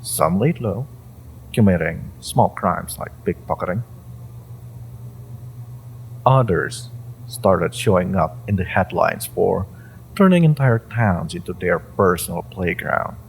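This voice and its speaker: A man playing a suspicious, coldly patient agency interrogator in a dramatic audio roleplay, speaking deliberately and sardonically with pointed pauses. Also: low voice, animated, creaky, slight background noise, heavily acted, low-quality recording. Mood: sardonic, accusing.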